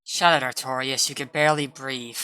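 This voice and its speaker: A woman's voice: very deep voice, fairly even intonation, a little fast, pushed and bright, clean tone. A woman voicing a blunt, loyal female comrade in a dramatic roleplay, firm and earnest. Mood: scolding, urgent. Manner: firm, scolding yet earnest. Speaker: voice actor.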